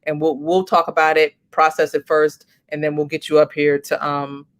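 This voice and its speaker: A woman's voice: low voice, level tone, normal speed. Chatty encouraging woman host. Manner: chatty, encouraging, thinks aloud. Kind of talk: casual livestream wrap-up.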